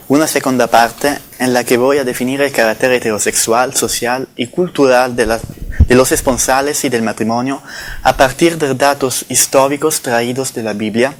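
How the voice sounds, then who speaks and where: A man from Italy with an Italian accent, whose first language is Italian, giving a formal academic lecture in Spanish that he reads from notes in a measured way with the odd self-correction. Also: medium pitch, a little hiss or hum. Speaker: conference speaker.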